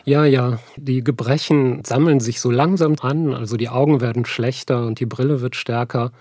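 Mellow, husky man in his fifties. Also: medium pitch, steady tone, dry recording. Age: 50s. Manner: measured, thoughtful. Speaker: psychotherapist, interviewee. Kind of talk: calm personal reflection.

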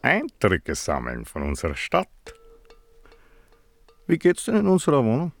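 A small-town mayor in a film drama, folksy and condescending with a southern German accent. His gravelly voice swings widely in pitch and takes its time, probing evasively under a jovial surface of veiled menace. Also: huge pitch swings, a little slow, faint music behind, exaggerated voice. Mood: probing affability. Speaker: actor. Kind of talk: naturalistic film drama dialogue.

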